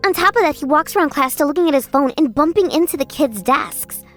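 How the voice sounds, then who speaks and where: A woman narrating as an exasperated schoolgirl in a manga dub, lively and a bit sarcastic. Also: high voice, quick pace, faint music behind. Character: exasperated schoolgirl narrating her class's story.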